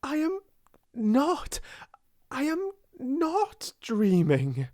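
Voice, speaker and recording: A woman's voice: high voice, wildly swooping pitch, very slow, mellow, no echo, clean studio sound, voice pushed up, heavily acted. A woman voicing a young storybook girl, breathless and overjoyed with wonder, in panting, half-whispered exclamations. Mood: tearful joy. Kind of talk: storybook character voice in audiobook reading.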